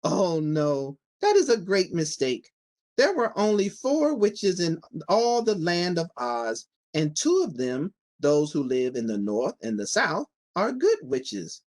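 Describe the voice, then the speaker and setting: Male reader as kindly old good witch, little old lady, storybook, gently assured, swooping intonation. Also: fairly high pitch, heavily acted.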